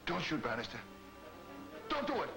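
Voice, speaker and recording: Panicky crook from old 40s serial, begging, swoopy voice, echoey with music. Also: male voice, fairly high pitch, huge pitch swings, moderate pace, gravelly, exaggerated voice. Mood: panicked, pleading. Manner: frantic, pleading. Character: panicky male crook, desperate and pleading. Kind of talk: 1940s movie serial acting. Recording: echoey old film soundtrack, music behind.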